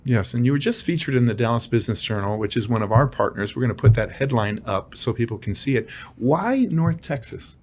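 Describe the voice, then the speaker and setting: A middle-aged man hosting a broadcast interview show, upbeat, asking quick questions with frequent fillers. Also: mid-range voice, lively intonation, a little mellow, a little hiss or hum. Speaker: talk show host.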